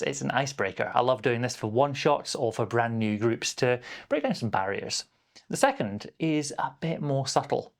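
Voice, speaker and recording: A man's voice: mid-range voice, vocal fry. A man presenting a scripted explainer as a game master, with a playful, emphatic and encouraging delivery. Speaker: game master and video host.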